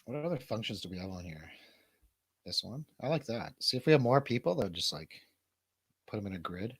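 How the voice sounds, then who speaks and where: A man's voice: lowish voice, big pitch swings, brisk, a bit bright, slightly rough, dry recording. A man hosting a casual livestream chat, laid-back, slangy and rambling. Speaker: host of a livestream show.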